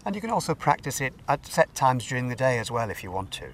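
A man leading a guided meditation as a teacher, giving gentle, unhurried instructions with pauses. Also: mid-range voice, very animated. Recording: distant mic, faint background noise.

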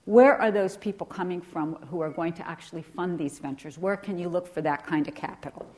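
Brief: middle-aged woman from Ecuador, American accent, low pitch, guest lecturer, informal and full of fillers. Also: brisk, darkish tone. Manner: conversational, frequent fillers and restarts. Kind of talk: lecture to a class.

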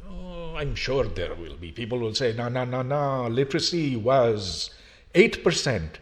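An older man, a longtime professor from Pakistan, giving an emphatic public lecture full of rhetorical questions. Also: mid-range voice. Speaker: university professor.

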